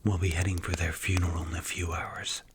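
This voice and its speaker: A man playing a strict, commanding mafia boss in an intimate ASMR roleplay, speaking softly and breathily with stern, blunt, measured delivery. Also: sits low, flat delivery, noisy background, lightly acted. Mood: somber, serious.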